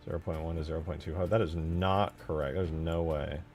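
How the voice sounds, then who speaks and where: A man giving casual, low-key commentary as he works on a game mod, muttering to himself as he thinks aloud. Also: steady tone, fairly quick, darkish tone. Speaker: game modder. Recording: music behind the voice. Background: clear background music.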